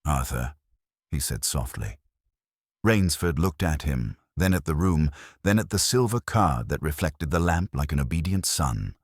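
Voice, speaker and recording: A man narrating a detective story in the first person, with a measured, dry, literary delivery. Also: a bass voice, big pitch swings, breathy edge, dry recording. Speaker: audiobook narrator.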